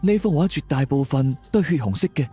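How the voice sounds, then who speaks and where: Man playing a husky, higher-voiced calm investigator. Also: fast. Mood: grave, analytical. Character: analytical investigator colleague, calm and perceptive. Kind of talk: audio drama dialogue. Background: background music.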